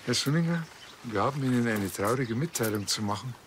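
An actor playing an earnest, officious small-town police officer who explains things formally in a Bavarian accent. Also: male voice, medium pitch, lively intonation, brisk, slight background noise, lightly acted.

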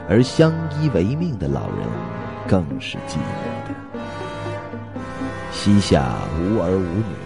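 A man's voice: very deep voice, creaky. A man narrating a suspense radio drama in a scripted, dramatic storytelling style.

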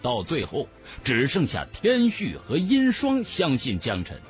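Highly animated male audiobook narrator. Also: unhurried, soft background music. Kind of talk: dramatic scripted narration of a fantasy novel.

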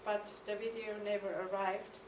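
A woman's voice: mid-range voice, little pitch movement, background noise. A middle-aged woman with a German accent, reading a reflective lecture from a podium. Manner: measured, reflective reading with some hesitations. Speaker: artist giving a conference lecture. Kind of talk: reading a prepared lecture, partly poetic. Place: stage of a mid-sized studio hall. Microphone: podium mic on a stand. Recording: echoey hall, from the camera's distance.

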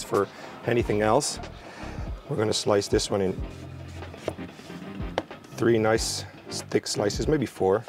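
A young man cooking outdoors at a barbecue, relaxed and explaining each step as he works. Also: medium pitch. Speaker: cooking show chef. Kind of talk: cooking demonstration. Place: outdoor garden by a barbecue grill.

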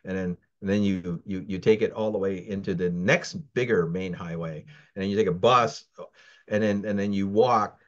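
A man's voice: sits low, fairly even intonation, normal speed. A man in his sixties, originally from the Philippines, who speaks with an American accent, recounting his childhood at an easygoing pace as a guest on an interview, full of restarts and fillers. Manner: rambling, many restarts and fillers. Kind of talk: casual interview. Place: home, virtual background. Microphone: video call. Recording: dull lo-fi video call audio.

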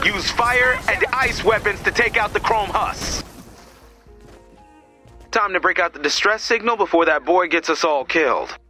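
A sincere game soldier, dutiful, slightly high male voice, music loud behind him. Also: a little slow. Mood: businesslike. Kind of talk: video game mission dialogue.

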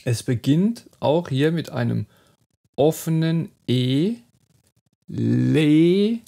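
A middle-aged man giving an online pronunciation lesson, slowly demonstrating and repeating model words. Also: on the high side, animated, gravelly, dark tone. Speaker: German teacher.

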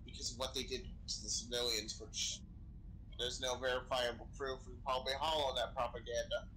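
A man's voice: medium pitch, level tone, brisk, pushed and bright, natural voice, low-quality recording. A man playing a chatty, sweary sci-fi crewman who knows his weapons, improvising casually in a game roleplay. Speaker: Star Wars roleplay player. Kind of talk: improvised in-character gaming chat. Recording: gaming voice chat with background noise.

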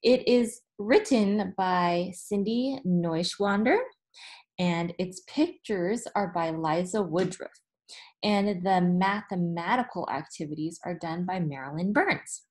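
Slow-paced woman teacher reading aloud. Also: on the low side, darkish tone. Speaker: elementary school teacher. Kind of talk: read-aloud storytelling to children. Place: small indoor room with whiteboard.

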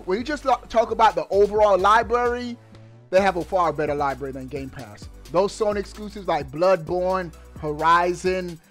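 A man in his thirties giving excited, slangy gaming news commentary into a headset mic, emphatic and drawing out his words. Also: high-pitched. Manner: emphatic, animated delivery with drawn-out words, slangy. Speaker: gaming YouTuber. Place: indoors at a streaming setup.

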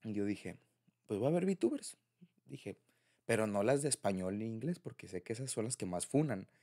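A man's voice: lowish voice, animated, moderate pace, mellow. A young man with a Mexican accent speaking informally and confidingly in an intimate, conversational boyfriend roleplay. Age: young adult. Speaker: audio roleplay creator speaking to a partner.

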